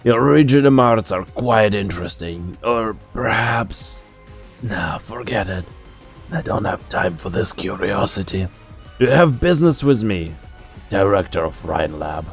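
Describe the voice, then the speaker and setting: A man playing a mocking, bitter old scientist in a theatrical, deliberate fan-dub performance. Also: fairly low pitch, animated, vocal fry, soft background music. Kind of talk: fan-dub game drama acting.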